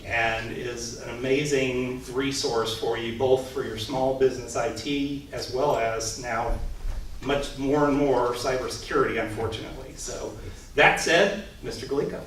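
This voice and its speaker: A man's voice: mid-range voice, steady tone, normal speed, fairly bright tone, a little hiss or hum. Male event host, upbeat announcements, echoey room, distant mic. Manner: upbeat and friendly, a few stumbles. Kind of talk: event announcements and speaker introduction. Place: coworking space event room.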